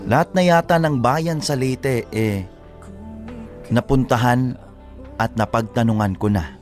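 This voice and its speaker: A man's voice: mid-range voice, measured pace, faint music behind, natural voice. A man narrating as a lovesick, determined letter writer in a radio drama, reflective and confiding. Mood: wistful, determined. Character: lovesick male letter writer, determined, confessing his search.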